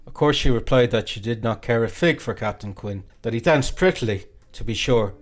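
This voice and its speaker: A man reading a classic novel as an audiobook narrator, in an expressive, dramatic storytelling way. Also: medium pitch, a little fast, soft background music.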